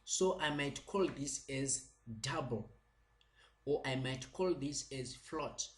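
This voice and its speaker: Male lecturer, mid pitch, slow and patient, repetitive with fillers.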